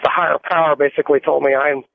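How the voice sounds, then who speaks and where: A man's voice: on the high side, level tone, racing, creaky, no room sound. An American man, a trucker calling a radio show, telling a casual, rambling story over a phone line. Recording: tinny narrowband phone line.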